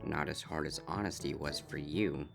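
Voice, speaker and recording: A man voicing a greedy, excitable young male griffin student in a fan fiction story, loud and halting. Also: rumbling low, vocal fry, faint music behind, exaggerated voice. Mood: sullen. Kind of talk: character voice in a fan fiction reading.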